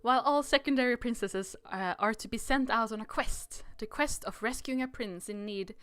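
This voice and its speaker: A woman's voice: on the high side, moderate pace, fairly bright tone, clean tone, no room sound. A giggly woman chatting casually as she plays a game on a livestream. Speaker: game streamer, player. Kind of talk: casual livestream chat while playing.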